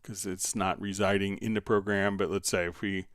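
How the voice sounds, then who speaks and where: A man hosting a live software tutorial, thinking aloud in a halting, hesitant manner as he demonstrates. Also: sits low, level tone, no echo, studio quality. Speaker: livestream host and software instructor.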